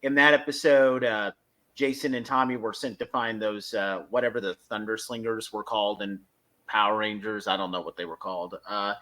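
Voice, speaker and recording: A man's voice: lowish voice, steady tone, moderate pace, sharp tone, rough recording. A man hosting a podcast, giving casual review commentary and recapping step by step in a hesitant way. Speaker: podcast host.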